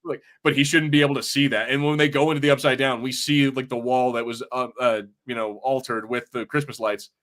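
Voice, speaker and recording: A man co-hosting a podcast, talking casually in a halting, rambling way full of "like.". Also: mid-range voice, fairly even intonation, fairly bright tone. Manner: rambling, halting, heavy use of "like". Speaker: podcast co-host. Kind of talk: casual pop culture podcast discussion.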